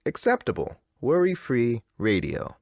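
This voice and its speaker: A man's voice: medium pitch, wildly swooping pitch, unhurried, darkish tone, no room sound, clean studio sound, full character voice. A man playing a blissful, eerily upbeat, cult-like radio DJ, soothing yet theatrical in his scripted patter.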